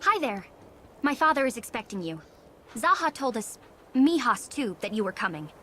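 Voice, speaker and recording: A woman playing a young daughter of a clan leader in a video game, eager and expressive. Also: fairly high pitch, moderate pace, a little hiss or hum. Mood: friendly welcoming. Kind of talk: video game cutscene acting.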